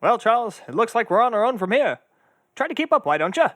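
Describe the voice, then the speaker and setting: A man playing a vain, hot-tempered, hard-drinking detective with a loud, pompous, theatrical delivery in an adventure parody. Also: extremely high pitch, big pitch swings, quick pace, heavily acted. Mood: boisterous. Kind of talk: old radio adventure parody acting.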